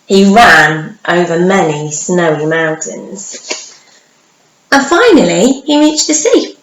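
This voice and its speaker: A woman reads a children's storybook aloud in a slightly high voice. She takes it slowly, stretching words and pausing for effect. The room is echoey, with faint noise behind her. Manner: measured, dramatic pauses and drawn-out words for young listeners. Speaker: primary school teacher reading a story.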